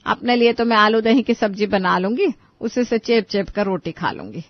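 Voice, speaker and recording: A woman's voice: mid-range voice, expressive, normal speed, dry recording, dull audio, close to an everyday voice. A woman voicing a weary, placating middle-aged mother in a cartoon family story, coaxing and plaintive.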